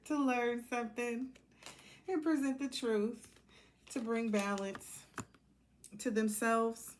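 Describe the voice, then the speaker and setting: A woman's voice: on the high side, steady tone, slow pace, slight background noise. A woman giving a casual, intuitive tarot reading, speaking informally and bluntly as she drifts between asides. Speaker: tarot reader.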